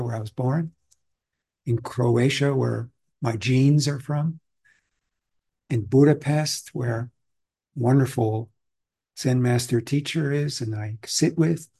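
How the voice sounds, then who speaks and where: A man from Austria, a meditation teacher, giving a calm, reflective and conversational Zen dharma talk. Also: mid-range voice, husky.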